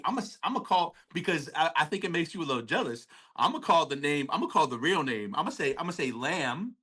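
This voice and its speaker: A man with a bright, forward voice chats loosely on a music podcast. He rambles, trails off and drops slang, calling his co-host "baby" as he goes. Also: medium pitch. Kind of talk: casual chat.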